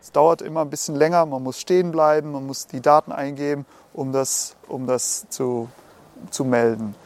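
A man's voice: fairly high pitch, fairly even intonation, normal speed, darkish tone, soft background music. A man from the Netherlands who built an app talks casually, sometimes stumbling and restarting his words. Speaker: app developer. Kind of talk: interview and street chat.

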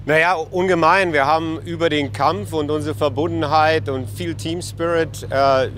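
Male coach from Berlin, halting interview answers, faint traffic behind. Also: a little high, steady tone, a bit bright. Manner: hesitant, many fillers and restarts. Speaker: national basketball coach. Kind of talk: news interview.

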